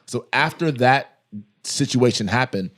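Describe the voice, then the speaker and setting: A man's voice: mid-range voice, animated, unhurried, a bit bright. A man hosting a podcast in casual chat, giving advice and walking through contract examples. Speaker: podcast host.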